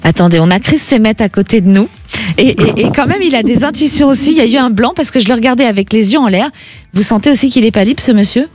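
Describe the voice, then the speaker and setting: A middle-aged woman hosting a call-in radio psychic show, advising a caller gently and often adding a little "hein". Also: a little high, animated, fast talker, soft background music. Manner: reassuring, gently advising, frequent tag "hein".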